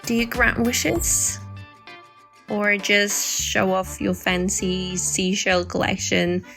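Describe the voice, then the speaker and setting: A woman's voice: medium pitch, flat delivery, slow pace, vocal fry, a bit bright, soft background music. A woman playing a playful, teasing young woman full of mock awe in a bedtime audio drama.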